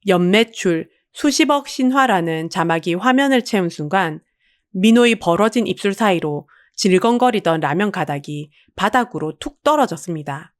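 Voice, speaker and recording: A woman's voice: medium pitch, dry recording, studio quality. A woman reading a scripted story as a dramatic audiobook storyteller.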